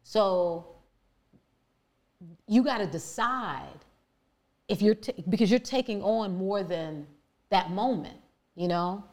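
A woman with a deep voice telling her personal story candidly and emphatically, with many fillers and restarts. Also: animated, takes it slowly, long pauses, a little mellow. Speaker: guest.

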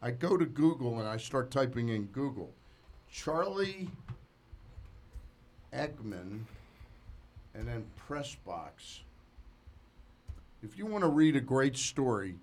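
A man in his sixties hosting a casual sports radio show, talking in a halting, digressive way full of asides. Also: mid-range voice, very animated, darkish tone, slight background noise. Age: 60s. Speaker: sports talk show host.

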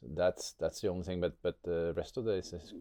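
A man's voice: sits low, steady tone, fairly quick, warm tone, dry recording, studio quality. A man from Norway with a Norwegian accent, speaking reflectively as an interview guest, hesitant and repeating words as he searches for phrasing. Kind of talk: reflective interview talk about personal experience.

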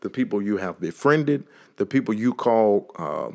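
A man's voice: lowish voice, animated, moderate pace. Texas man giving a motivational talk. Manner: repetitive, building preacher-like emphasis. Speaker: podcast host.

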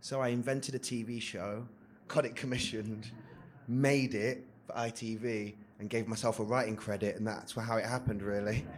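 A man's voice: lowish voice, fairly even intonation, normal speed, a little hiss or hum. A male writer on a live panel, recounting his start in a wry, matter-of-fact way.

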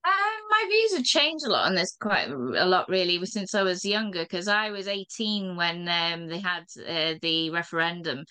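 A woman from Wales with a North Welsh accent, talking in a reflective way as a podcast guest, with soft laughs and many fillers. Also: lowish voice, animated, normal speed, few pauses, smooth. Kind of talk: reflective conversation.

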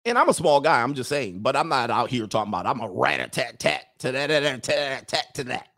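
A man talking casually to his audience in an animated way, mocking people with quick put-on impressions and laughing at his own jokes. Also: medium pitch, creaky. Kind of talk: casual commentary monologue.

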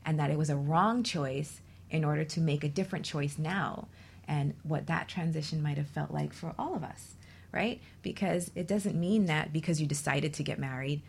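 A woman's voice: low-pitched, vocal fry, breathy edge. A woman hosting a talk show, speaking reflectively in discussion.

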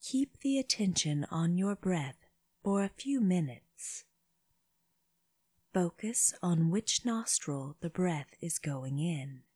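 A woman leading a guided meditation for children, speaking gently in short phrases with long pauses, her breathy voice often dropping toward a whisper. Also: lowish voice, animated, no room sound. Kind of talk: slow guided body scan meditation.

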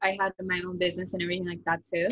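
A woman's voice: fairly low pitch, racing. Japanese woman talking english, accent, lots of likes, chatty, kinda muffled lo-fi audio. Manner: chatty, lively, many "like" fillers. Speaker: author guest. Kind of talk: casual interview talk.

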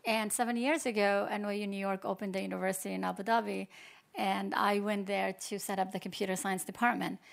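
A middle-aged woman from Palestine speaks as a panel guest, her voice carrying a gravelly creak. She talks off the cuff, pausing and restarting her sentences as she goes. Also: medium pitch, fairly even intonation. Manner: hesitant, many restarts and fillers. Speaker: panel guest, computer science professor. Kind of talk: unscripted panel talk.

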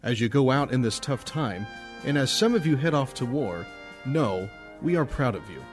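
An actor playing a proud high school principal, giving a formal address in a radio drama. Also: male voice, very animated, moderate pace, soft background music, close to an everyday voice. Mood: solemn pride. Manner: formal speech-making.

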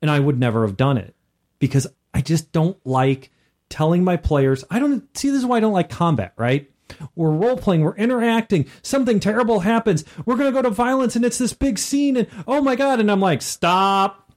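A man's voice: sits high, expressive, fairly quick, a little mellow. A man co-hosting a casual chat, slipping into little acted snippets as he makes his points. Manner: acts out snippets of dialogue while making a point. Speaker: podcast co-host. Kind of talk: casual chat about tabletop role-playing.

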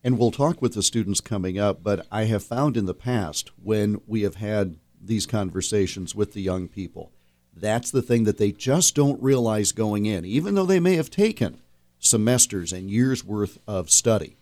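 A man hosting a public radio interview program, speaking in a measured, conversational way. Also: on the low side, lively intonation, dark tone, no echo. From Iowa.

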